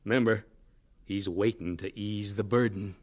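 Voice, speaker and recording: A man's voice: lowish voice, steady tone, moderate pace, a little mellow, lo-fi sound, faint music behind, lightly acted. An actor playing a fatherly country preacher in a radio drama, hearty, benevolent and exhorting.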